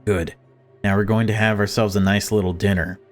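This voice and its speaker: A man's voice: lowish voice, level tone, a little fast, faint music behind, natural voice. A man voicing a protective mother who commands her family in a horror visual novel. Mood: threatening, fierce. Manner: stern, commanding.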